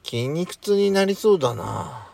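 A man playing a calm, indulgent boyfriend with a dry, gentle manner in an intimate bedtime audio drama. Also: fairly high pitch, a little slow, creaky, no echo, exaggerated voice. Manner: gentle, dry, masculine wording. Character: gruff but doting male lover, calm and indulgent.

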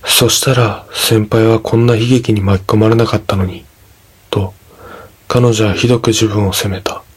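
A man narrating a scripted ghost story in a measured, dramatic storytelling manner. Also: lowish voice, steady tone, slightly rough.

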